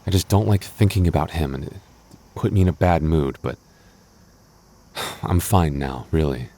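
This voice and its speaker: A man playing a clingy, jealous young boyfriend in an intimate roleplay audio, talking casually and haltingly, prone to stammering when flustered. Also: extremely low pitch, warm tone. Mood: reassuring, mildly sulky.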